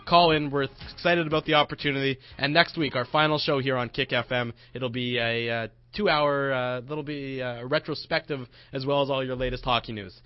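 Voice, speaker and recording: A man's voice: medium pitch, fairly even intonation, brisk, few pauses, no room sound. A man hosting a sports radio show, casually chatting and plugging upcoming broadcasts in an upbeat announcer manner. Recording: dull lo-fi broadcast with faint music.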